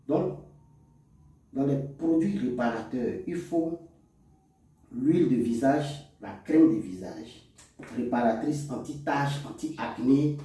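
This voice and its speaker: A man's voice: mid-range voice, mellow. A man with an African French accent explaining products in an informal, didactic lesson with emphatic delivery. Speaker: cosmetics chemist and instructor. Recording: echoey room, distant microphone.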